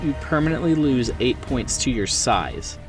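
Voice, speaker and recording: A man with a mid-pitched voice acts as game master at a horror tabletop game. He talks players through rules and scenes conversationally, a little away from the mic, with clear music playing behind him. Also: expressive, normal speed, distant mic. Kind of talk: narrating a tabletop game, off the cuff. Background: music bed.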